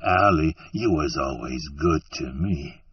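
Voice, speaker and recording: A man voicing an ashamed, frightened drifter who pleads slowly in rustic grammar, close to tears. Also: very deep voice, expressive, slightly rough, distant mic, highs cut off, pitched below the performer's own voice, heavily acted. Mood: tearful. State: tearful.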